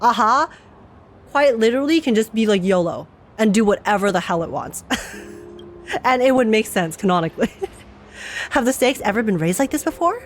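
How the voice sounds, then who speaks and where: A woman's voice: a little high, expressive, moderate pace, long pauses, a little hiss or hum. A young woman streamer giving casual, chatty reaction commentary on a game, laughing often.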